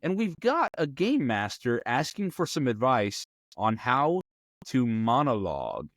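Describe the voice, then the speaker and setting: A man speaks as the host of a role-playing advice show. His voice sits at an average pitch and he explains things conversationally. The sound is dry, with very little room in it. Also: very animated, unhurried, a bit bright, no room sound.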